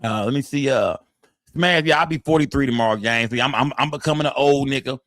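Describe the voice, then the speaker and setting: A man in his forties talks quickly on a live stream, with a loose, profane, repetitive flow. His pitch sits in the middle of the male range. The voice is close and dry, with almost no room sound. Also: a bit bright, no room sound. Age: 40s. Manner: loose, profane, repeats phrases with tag words like "man" and "fam". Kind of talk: casual live-stream chat, reading the chat aloud.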